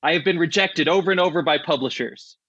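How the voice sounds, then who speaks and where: A man's voice: a little high, fast talker, fairly bright tone, no echo, dull audio. A man hosting a podcast in a casual, chatty and enthusiastic way. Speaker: podcast host.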